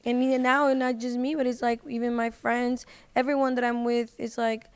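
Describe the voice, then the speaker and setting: A woman's voice: fairly high pitch, flat delivery, a little fast, clean tone. A woman guest on a podcast, chatting casually and informally, with lots of "like" and "you know". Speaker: podcast guest, singer and social media personality. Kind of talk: casual chat.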